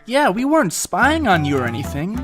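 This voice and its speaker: A woman's voice: medium pitch, sing-song, brisk, over music, lightly acted. A woman playing an indignant, hot-headed young ninja guard, defensive and blustering, in an anime fan drama.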